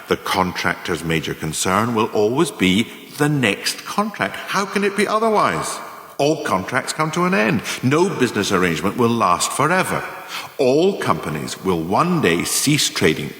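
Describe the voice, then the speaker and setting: An actor playing a pompous, cynical business manager, lecturing formally and emphatically with dramatic pauses in a radio play monologue. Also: male voice, lowish voice, bright, forceful tone. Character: pompous male business manager delivering cynical career lessons. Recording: very echoey hall-like reverb.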